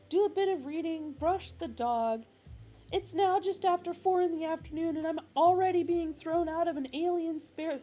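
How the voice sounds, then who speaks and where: A woman reading a story aloud and voicing an indignant, panicky man who sputters in exasperation, pitching her voice well above her own. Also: dark tone, poor audio. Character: panicky indignant Englishman, sarcastic.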